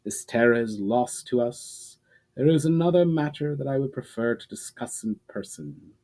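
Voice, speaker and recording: A man's voice: mid-range voice, lively intonation, moderate pace, warm tone, close to an everyday voice. Man playing a venomous, scheming sorceress. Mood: bitter, conceding. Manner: incredulous, spitting out words with spite. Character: spiteful, furious scheming sorceress, proud and venomous.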